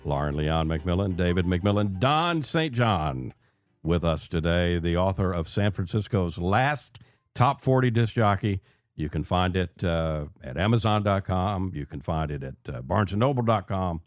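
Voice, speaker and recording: An American man hosting a radio show in an animated, conversational way, with emphatic, repeated phrases. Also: low-pitched, a little slow, dry recording, studio quality.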